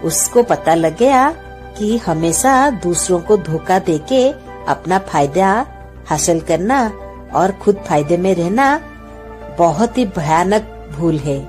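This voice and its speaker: Slow, animated woman storyteller. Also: fairly low pitch, faint music behind. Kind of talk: scripted children's story narration.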